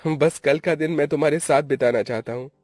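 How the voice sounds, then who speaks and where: Man acting a young male lover, sly, wheedling, high-pitched, animated story. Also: brisk, dry recording, faint music behind, lightly acted. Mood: coaxing.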